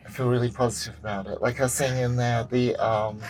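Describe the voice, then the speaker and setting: A man's voice: medium pitch, flat delivery, a little fast, heavy background noise. A man chatting casually and enthusiastically as a vlog host, often repeating himself. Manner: upbeat, repetitive, enthusiastic. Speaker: host, film director. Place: out and about after packing up car.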